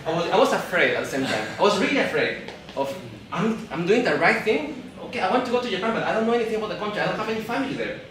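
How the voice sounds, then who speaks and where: A man from Peru in his thirties, speaking English with a Peruvian Spanish accent, gives a live talk to an audience. He speaks haltingly, often repeating and restarting words. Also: sits high, sing-song, very echoey, recorded from a distance, background noise. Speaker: event speaker. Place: event hall.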